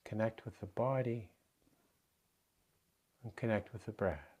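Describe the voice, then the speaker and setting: A man guiding a meditation as a teacher, giving gentle, unhurried instructions with pauses. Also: on the low side, breathy edge. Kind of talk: guided meditation.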